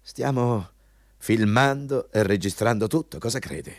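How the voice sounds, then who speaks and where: An actor playing a domineering, hypocritical company boss in a theatrical Italian radio drama, pompous and mocking in manner. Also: male voice, medium pitch, a little slow, natural voice. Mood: pompous, bossy. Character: domineering, hypocritical male company boss interviewing a job applicant.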